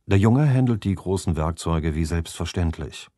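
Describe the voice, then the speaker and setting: A man narrates a fantasy audiobook expressively. His voice is low and moves a lot in pitch, and it sounds close and dry. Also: expressive, moderate pace, mellow, no room sound.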